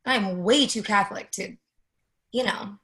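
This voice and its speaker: A woman's voice: medium pitch, a little slow, a bit bright, close to an everyday voice. A woman playing an anxious, candid, teasing friend in a conversational, wry play reading. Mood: upset, venting. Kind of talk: readers theatre play reading.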